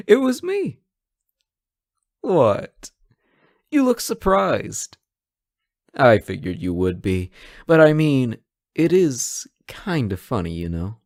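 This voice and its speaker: A man's voice: mid-range voice, huge pitch swings, extremely slow, long pauses, a little mellow, dry recording, studio quality, exaggerated voice. A young man playing a playful superhero smitten with his nemesis in a romantic roleplay audio, teasing and easily flustered. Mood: teasing, amused. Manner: playful, stammering when shy. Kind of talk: romantic roleplay audio acting, addressed to listener.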